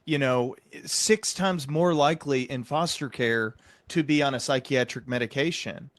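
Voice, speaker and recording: A man hosts a conversational interview in a medium-pitched voice. He explains things reflectively, with a measured, encouraging delivery. The sound is very dry, with hardly any room in it. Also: no echo. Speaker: podcast host interviewing.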